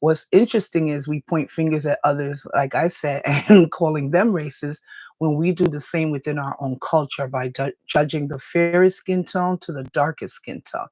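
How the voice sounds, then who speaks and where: Raspy woman hosting a live talk show. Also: deep voice, moderate pace, a little mellow, no room sound. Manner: earnest, lecturing, with small laughs and restarts. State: dry throat. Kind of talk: live talk radio monologue answering comments.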